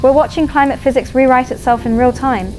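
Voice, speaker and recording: A fairly high female voice of an actress plays a troubled scientist briefing a news audience. She is sober and explanatory, with clear ambient noise in the recording. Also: fairly even intonation, a little fast, a little mellow, close to an everyday voice. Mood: grave, troubled. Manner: grave, explanatory. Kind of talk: expert briefing on news broadcast.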